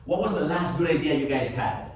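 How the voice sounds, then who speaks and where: A man's voice: on the high side, brisk. A man in his forties from Colombia, a native Spanish speaker, teaching an English class with jokes and lots of questions to students. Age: 40s. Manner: joking, lively, asks students questions. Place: language school classroom. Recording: echoey classroom, mic far off, noisy.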